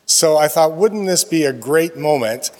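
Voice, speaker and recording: A man with a fairly high voice addresses a congregation in a chatty, good-humored way. His words ring out through the long reverb of a church sanctuary. He sounds relaxed and friendly, like he is talking with neighbors. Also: a little slow. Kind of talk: casual announcements during a church service.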